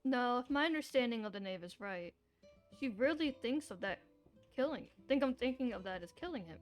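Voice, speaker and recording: Female game streamer, high voice, casual blunt commentary with swearing, faint music behind her. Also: expressive, fairly quick.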